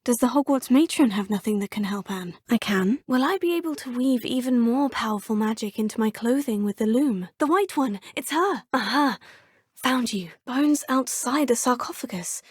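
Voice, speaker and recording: A woman's voice: fairly high pitch, expressive, moderate pace, slightly rough, dry recording, clean studio sound. A young woman voicing a game's player character, earnest and determined. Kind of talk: game voice acting. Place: recording studio.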